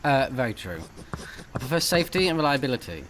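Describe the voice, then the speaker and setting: Playful male gamer, slightly low voice. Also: sing-song, fast, fairly bright tone, a little hiss or hum, poor audio. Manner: playful, reactive. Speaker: let's play host. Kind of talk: game commentary mixed with reading text aloud.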